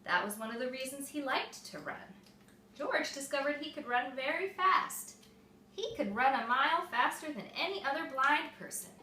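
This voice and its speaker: A woman reading a picture book aloud to young children, expressive and explaining things simply, like a mother at storytime. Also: sits high, moderate pace. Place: echoey room with small children present. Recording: echoey room, distant mic, faint noise.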